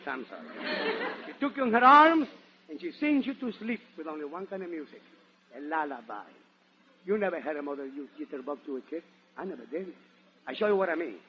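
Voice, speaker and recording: A man giving a theatrical, playful comic monologue as a guest on a 1940s radio show. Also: high voice. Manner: theatrical, playful, breaking into sung syllables and shouts. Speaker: guest comedian. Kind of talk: comic musical monologue to a live audience. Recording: noisy, muffled 1940s radio broadcast.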